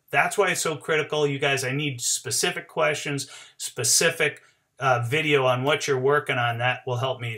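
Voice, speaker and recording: A man's voice: on the high side, pushed and bright. A middle-aged man explaining as an informal sports coach, emphatic and talking directly to his viewers. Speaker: barefoot waterskiing coach. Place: small cluttered indoor home office.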